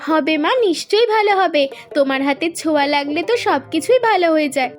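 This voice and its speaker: A quick, high-voiced playful daughter. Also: female voice, animated, faint music behind. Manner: eager, playful. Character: lively young village daughter, playful and secretive. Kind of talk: cartoon voice acting.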